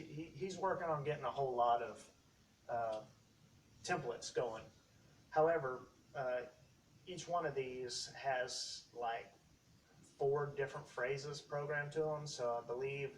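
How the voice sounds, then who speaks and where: Guy doing a casual gadget demo, kinda rambly and halting, bit far from the mic, faint noise. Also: medium pitch. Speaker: hobbyist video host demonstrating costume gear. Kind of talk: casual product demonstration.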